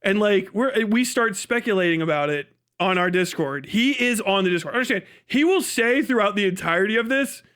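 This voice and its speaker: A man with a high-pitched voice hosts a livestream. He reacts casually, swears freely and keeps a derisive, mocking edge. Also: lively intonation, brisk, hardly any pauses, fairly bright tone, clear, resonant tone. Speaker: host, livestream commentator. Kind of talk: profane casual reaction commentary.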